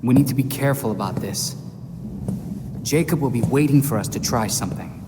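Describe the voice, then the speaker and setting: An actor playing a level-headed, caring young male vigilante in a video game cutscene, measured and sincere. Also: medium pitch, natural voice. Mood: cautious. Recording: clear background noise under dialogue.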